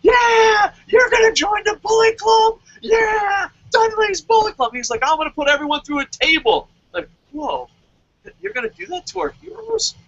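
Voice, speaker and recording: A man hosting a casual wrestling review chat, excitable, stammering at times and bursting into loud animated quotes. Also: very high voice, normal speed. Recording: dull lo-fi audio.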